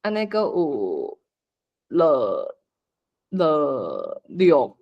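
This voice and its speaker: A host with a Taiwanese accent casually organizing people in an online meeting, coaxing and animated. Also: mid-range voice, extremely slow, gravelly, lo-fi sound. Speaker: host assigning roles for a script read-along.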